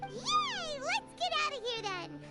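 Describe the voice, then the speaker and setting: A woman's voice: wildly swooping pitch, slow, loud music behind the voice, exaggerated voice. A woman voicing a tiny, cheerful, whiny childlike fairy companion in a video game. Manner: squeaky, refers to self in third person. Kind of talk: animated game voice acting.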